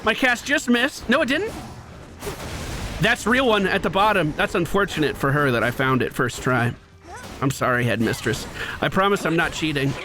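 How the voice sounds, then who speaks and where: A man's voice: on the high side, animated, normal speed, background noise. A man streaming a video game with casual, chatty commentary, explaining things with playful slang.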